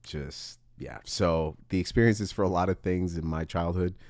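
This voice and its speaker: Male podcast host from Costa Rica, very low voice, dry close sound, lively casual movie talk. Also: normal speed, dry recording.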